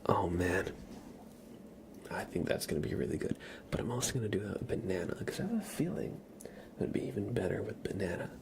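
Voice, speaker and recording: A man streaming ASMR, chatting casually to viewers in a soft, breathy whisper with a slightly stuffy nose. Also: low-pitched, very animated, brisk. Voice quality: breathy whisper with a creaky edge. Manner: soft-spoken, rambling, casual.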